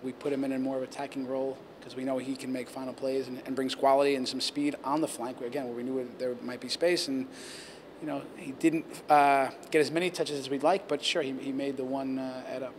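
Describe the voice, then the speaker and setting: A man in his forties, a head coach answering post-game questions at reporters' handheld mics, talking in a measured, earnest way with many restarts. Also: mid-range voice, level tone, noisy background. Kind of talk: post-game press interview. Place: press backdrop area, indoors. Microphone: handheld reporter mics held close.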